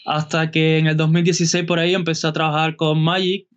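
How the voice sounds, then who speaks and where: A man from Cuba with a Cuban Spanish accent, a guest illustrator answering interview questions in an unhurried way with fillers and restarts. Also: fairly high pitch, little pitch movement, smooth, dull audio. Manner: unhurried, many fillers and restarts, light laughs.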